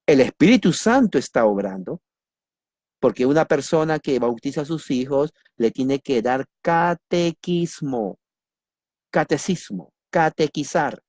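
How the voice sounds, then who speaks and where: A man from Peru with a Peruvian accent, teaching doctrine in a sermon, repeating key words and asking and answering his own questions, with a lively, joking manner. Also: on the high side, a little slow, no echo, studio quality. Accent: Peruvian Spanish. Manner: lively, jokes with listeners. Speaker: preacher.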